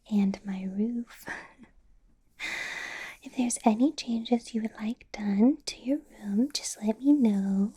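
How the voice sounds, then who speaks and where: A woman playing a possessive, menacing female vampire boss in an ASMR roleplay, speaking in a slow breathy whisper with soft teasing laughs. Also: medium pitch.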